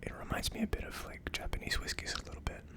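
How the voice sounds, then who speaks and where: An ASMR host speaks entirely in a hushed whisper, with almost no voice behind the words. The delivery is a casual, conversational tasting review. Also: fast talker. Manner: hushed, unvoiced whispering throughout.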